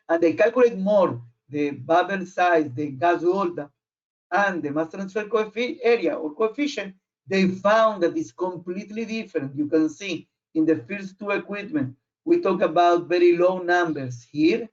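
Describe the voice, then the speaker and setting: A man who is not a native English speaker, giving an explanatory technical presentation in a halting way, searching for words. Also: high-pitched. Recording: muffled, dull online audio.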